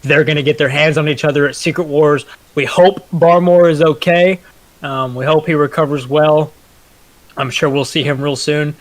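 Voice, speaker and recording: A man's voice: a little high, poor audio. A man hosting a wrestling promo and boasting as tag team champion, brash and showy as he plays to the crowd. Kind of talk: hyped wrestling promo talk.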